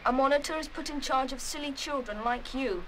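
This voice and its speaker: Woman acting a bossy, high-voiced boy monitor. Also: fairly quick, a little hiss or hum, lightly acted, rough recording. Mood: officious. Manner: indignant, bossy, emphatic. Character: officious, indignant young boy school monitor. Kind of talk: full-cast children's radio play acting.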